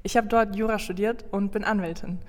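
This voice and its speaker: A woman's voice: mid-range voice, fairly even intonation, brisk. Colombian-born woman in echoing room. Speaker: interviewee, lawyer. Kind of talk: read interview answers.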